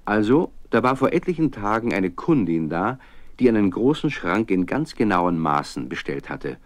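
An actor playing a friendly narrator who tells a scripted story to children. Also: male voice, lowish voice, big pitch swings, moderate pace, no echo. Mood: engaging.

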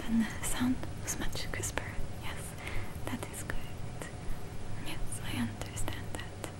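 A woman's voice: on the high side, rough recording. Whispering young woman in ASMR roleplay. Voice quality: breathy, unvoiced whisper. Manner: gentle, soothing, attentive, checking with listener. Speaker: ASMR roleplay performer, robot repair technician. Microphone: close binaural microphones, moving left and right.

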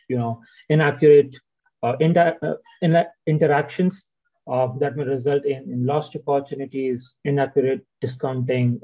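Male presenter on a webinar call, warm tone, muffled call audio, lots of "uh"s. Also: mid-range voice. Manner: frequent "uh" and "right?" tags. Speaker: senior product manager presenting. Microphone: video call.

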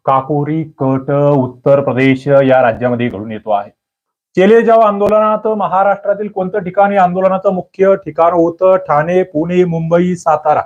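Casting Male exam-prep teacher, somewhat high voice, quizzing students, muffled lo-fi audio. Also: a little mellow. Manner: quizzing, demanding quick answers. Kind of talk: quiz-style lecture. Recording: muffled low-fidelity recording.

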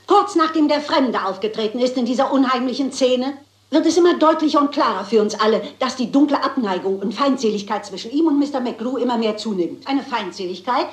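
Actress as eccentric elderly lady detective, crime radio play. Higher-set voice, genteel and deliberate, theatrical, imperturbable. Roomy acoustic. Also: close to an everyday voice. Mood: polite, earnest. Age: older. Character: eccentric, unflappable elderly spinster amateur sleuth.

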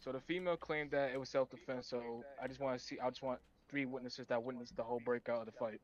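A man's voice: mid-range voice, fairly even intonation, quick pace, soft background music. A man role-playing an officer, making a procedural announcement over lo-fi voice chat. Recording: dull, lo-fi voice chat.

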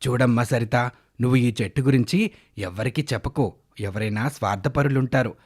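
An actor playing a greedy, scheming gold shop owner who talks slyly in a moral tale. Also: male voice, mid-range voice, a little fast, a little mellow, husky, natural voice. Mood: slyly persuasive. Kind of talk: dramatised moral storytelling.

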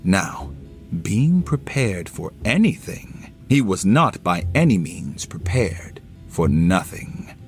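A man narrating a classic story as a solo audio play, with theatrical, deliberate phrasing. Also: deep voice, very animated. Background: music under the voice.

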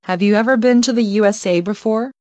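A woman reading English travel phrases one by one, slowly and carefully, for learners to repeat. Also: mid-range voice, darkish tone, no echo. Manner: careful, evenly paced, each phrase read alone. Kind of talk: slow clear phrase repetition for learners.

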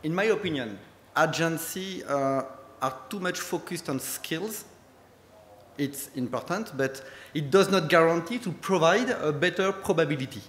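A man with a strong French accent giving a conference talk in halting English, with many fillers. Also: a little high, expressive, fairly bright tone. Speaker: conference speaker, company head. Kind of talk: conference presentation. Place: large conference hall. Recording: echoey hall with long reverb.

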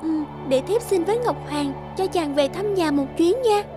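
A woman playing a gentle, anxious and loving young fairy in an animated fairy tale. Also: very high-pitched, moderate pace. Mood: tender. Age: young adult. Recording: music behind the voice.

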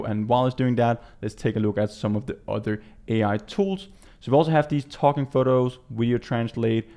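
A man in his twenties from Denmark with a Danish accent, giving a casual software walkthrough as a native Danish speaker. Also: medium pitch. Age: 20s. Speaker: tutorial presenter. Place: small indoor room.

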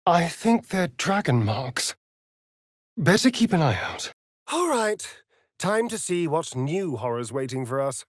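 A man's voice: on the high side, very animated, unhurried, dry recording, studio quality. A man playing a vain, sardonic vampire rogue in a fantasy video game, with an arch, flamboyant drawl. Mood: wry.

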